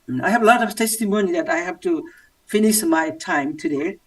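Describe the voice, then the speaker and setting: High-voiced Nepali man, halting testimony. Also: far from the mic. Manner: halting non-native English with many fillers. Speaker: church member giving testimony. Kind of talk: personal testimony talk.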